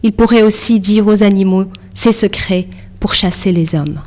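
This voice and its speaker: A woman's voice: mid-range voice, moderate pace, a little mellow. A woman who is a native French speaker, hosting an interview and reading poetry. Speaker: interview host.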